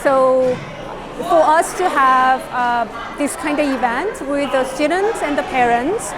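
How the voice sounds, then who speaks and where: A woman's voice: high voice, takes it slowly. A middle-aged woman from Korea speaking, a school board chairperson giving rambling interview answers full of fillers and tag questions. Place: indoor public event hall. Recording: crowd and noise behind.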